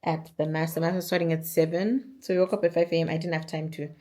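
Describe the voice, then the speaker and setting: Zimbabwean woman in her twenties, vlogging at home; low, strained voice, chatty and rambling. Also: little pitch movement, fast, warm tone. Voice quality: naturally deep alto voice. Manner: chatty, rambling, lots of fillers and restarts. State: tired, strained voice from singing. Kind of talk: casual chat to camera. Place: at home, indoors. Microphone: camera or phone on a stand.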